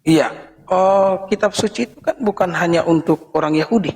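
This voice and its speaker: A man with a husky, creaky voice, arguing as a pastor in an informal debate with persistent questions. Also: high-pitched, moderate pace, darkish tone, slight background noise. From Indonesia.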